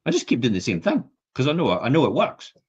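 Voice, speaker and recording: A man's voice: mid-range voice, huge pitch swings, fast, breathy edge. A man, chatting bluntly and jokily on a business podcast. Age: 50s. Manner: blunt, jokey, swears casually. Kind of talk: casual podcast chat. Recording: dull lo-fi sound.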